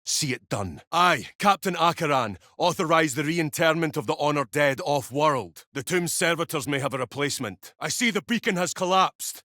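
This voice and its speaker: Stern space-soldier captain, dry studio sound. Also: male voice, fairly high pitch, lively intonation, normal speed, hardly any pauses, bright, forceful tone, no echo. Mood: stern, formal. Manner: clipped, formal, authoritative, grave. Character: stern, commanding male military captain of armored space soldiers. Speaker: mission briefing officer. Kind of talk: scripted video game voice acting.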